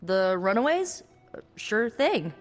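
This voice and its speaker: A woman's voice: mid-range voice, big pitch swings. A woman playing a young spellcaster hero in a video game, wry and guarded, talking in a conversational, hesitant way. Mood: friendly, wry. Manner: wry, hesitant, halting asides. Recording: long reverb, music underneath.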